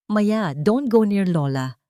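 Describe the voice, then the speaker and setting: A woman's voice: mid-range voice, animated, slow pace, mellow, no room sound, studio quality, pitched above the performer's own voice. A woman voicing a haughty young wife and mother in an audio drama, mixing English and Tagalog with calculated control. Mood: cold, stern. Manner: cold and hard, or sugary, mixing English and Tagalog.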